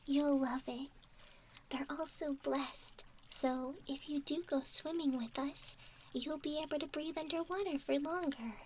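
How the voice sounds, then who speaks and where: A woman playing an excitable, sweet young mermaid in a soft, breathy, gushing ASMR roleplay. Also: high voice, a little slow. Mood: delighted, eager. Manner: gushing, apologetic, easily distracted. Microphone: 3Dio binaural microphone, close. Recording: close binaural mic with background ambient noise.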